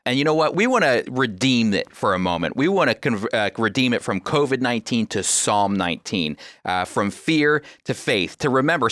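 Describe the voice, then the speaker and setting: A man with a bright, forceful voice in a dry studio recording teaches scripture as a radio host. He speaks conversationally but earnestly, repeating phrases for emphasis as he explains. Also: mid-range voice, no echo. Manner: earnest, emphatic, uses rhetorical repetition.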